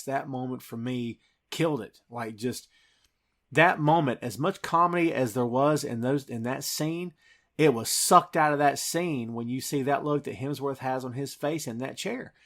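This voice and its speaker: A man's voice: medium pitch, a little slow, fairly bright tone. A man hosting a podcast, talking through a film scene by scene in an emphatic, dramatic storytelling way. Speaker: podcast host.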